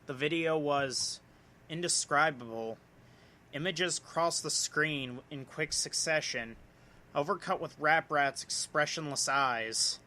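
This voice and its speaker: Bright-voiced man reading horror dramatically. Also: fairly high pitch, takes it slowly. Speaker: narrator reading a horror story. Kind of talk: dramatic scripted horror narration.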